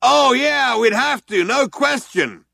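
A man's voice: extremely high pitch, moderate pace, vocal fry, fairly bright tone, no room sound, clean studio sound, voice pushed up, full character voice. A man doing a sulky drawling space cop. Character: second male cop, sulky would-be novelist.

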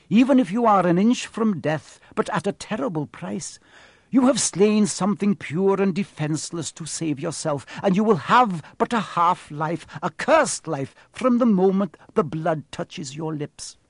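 A man's voice: high-pitched, expressive, moderate pace, no room sound, clean studio sound, voice pushed up. A man voicing a noble young male centaur, earnest and protective, who speaks in an urgent, solemn way.

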